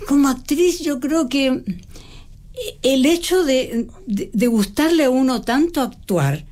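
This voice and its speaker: An older woman, a stage actress, answering interview questions in a reflective, halting way with frequent restarts. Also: high voice, expressive, darkish tone. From Mexico. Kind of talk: conversational interview.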